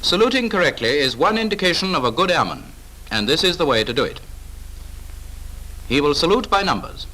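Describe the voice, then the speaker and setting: A British man narrates a wartime-era 1940s training film with clipped authority. His voice is bright and fairly high, swinging in pitch as he gives firm instruction. It has the sound of an old film soundtrack. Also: sing-song, a little fast, long pauses, poor audio.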